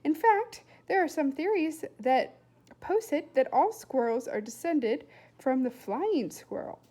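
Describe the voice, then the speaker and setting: A woman's voice: sits high, expressive, unhurried, dark tone, voice pushed up. A woman reading a storybook as a precocious young boy, formal and self-important, with wordy, precise diction. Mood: pedantic.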